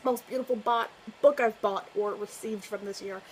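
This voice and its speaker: A woman's voice: medium pitch, steady tone, normal speed, darkish tone, a little hiss or hum, low-quality recording. A woman book vlogger chatting casually to her viewers, gushing and effusive and laughing often. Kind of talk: casual chat to camera.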